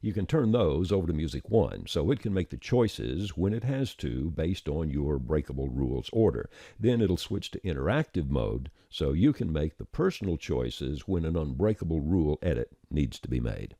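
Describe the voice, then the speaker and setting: A man narrating a scripted software tutorial with folksy, informal phrasing. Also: rumbling low, sing-song, moderate pace, hardly any pauses, dry recording, studio quality. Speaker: tutorial presenter.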